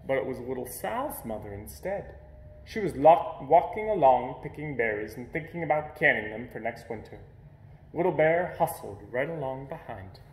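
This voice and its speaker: A man reading a children's picture book aloud in an expressive storytelling manner. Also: medium pitch, normal speed, roomy sound, low-quality recording. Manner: expressive storytelling with playful sound words. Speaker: storybook reader.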